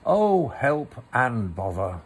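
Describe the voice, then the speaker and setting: A man's voice: medium pitch, big pitch swings, takes it slowly, slightly rough, full character voice. A man voicing a bumbling, good-natured, greedy storybook bear who stammers and hesitates. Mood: distressed, cross. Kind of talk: storybook character reading.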